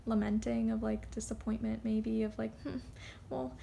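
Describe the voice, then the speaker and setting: A woman giving a casual, unscripted card reading, rambling and halting as she drifts off on tangents. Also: a little high, little pitch movement, gravelly, mellow, slight background noise. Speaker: tarot card reader.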